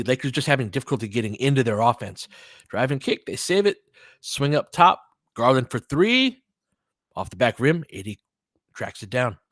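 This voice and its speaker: A man's voice: medium pitch, a bit bright, no room sound, clean studio sound. A man doing informal live basketball play-by-play, mixing short clipped calls with conversational coaching analysis and fan-like asides.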